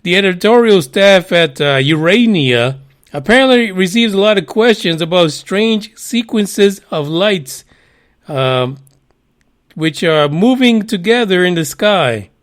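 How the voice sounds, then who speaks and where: A man's voice: high-pitched, lively intonation, a little slow. A man hosting a casual news podcast, cursing freely, laughing at himself and drifting into rambling asides. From United States. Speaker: podcast host.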